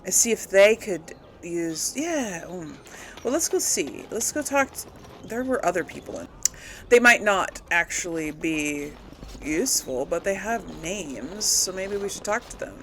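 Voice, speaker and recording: A woman giving casual let's play commentary to her audience, rambling and thinking out loud. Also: lowish voice, expressive, unhurried, a little hiss or hum. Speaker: host of a gaming role-play series.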